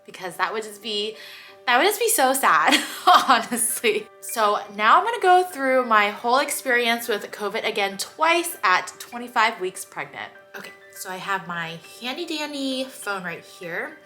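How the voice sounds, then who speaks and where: A woman's voice: a little high, pushed and bright. A young woman, chatting to her camera about her own experience in a casual vlog, full of "like" fillers and her voice a little stuffy from congestion. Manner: chatty, many "like" fillers, quick laughs. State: slightly stuffy nose. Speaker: vlogger.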